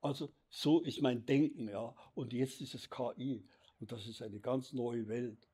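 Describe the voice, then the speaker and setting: A man in his eighties, an interviewee photographer, speaking slowly and haltingly, repeating words, seated indoors on a lapel mic. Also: mid-range voice, steady tone, dark tone, slightly rough. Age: 80s. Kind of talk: reflective interview talk. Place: indoors, seated against a plain wall.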